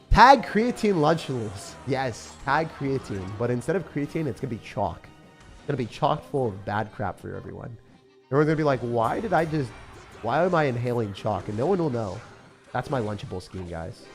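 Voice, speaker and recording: A young man talking at a middle pitch, live-streaming a game and chatting loosely with his viewers. He jokes around, reads their messages aloud and drops slang like bro. Faint music plays underneath. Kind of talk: live-stream gameplay chat.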